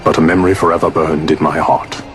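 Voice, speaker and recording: A serious pirate captain from a game, formal old-timey talk, real low voice, music loud. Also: male voice, level tone, fast. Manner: formal, archaic speech. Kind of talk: video game cutscene acting. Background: game score music.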